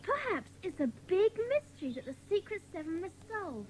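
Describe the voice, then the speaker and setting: High-voiced, excitable young schoolgirl. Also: normal speed, slight background noise. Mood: curious. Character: chatty, excitable, soft-hearted young schoolgirl of the Secret Seven. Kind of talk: dramatised children's audiobook acting.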